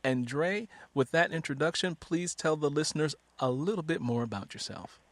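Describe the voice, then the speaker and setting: A man hosting a conversational author interview, talking in an animated way. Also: on the high side, normal speed, no echo. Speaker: interview show host and novelist.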